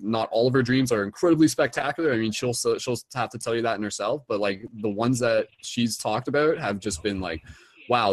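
A man's voice: on the low side, dry recording. A young man hosting an online dream class, talking loosely with lots of "like" and "um". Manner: rambling, many "like" and "um" fillers. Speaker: host.

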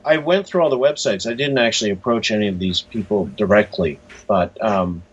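A man speaking as an author being interviewed, in a halting, thoughtful, conversational way. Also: fairly low pitch, a little hiss or hum. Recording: dull, muffled lo-fi audio.

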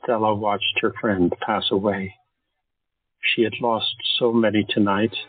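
Low, husky male narrator. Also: little pitch movement, measured pace. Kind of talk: scripted drama narration. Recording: tinny phone-like audio, faint music.

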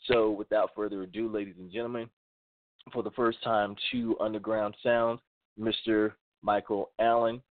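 Radio host guy, eager and welcoming, lowish voice, dry thin phone-like sound. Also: level tone, moderate pace, no echo. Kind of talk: interview hosting.

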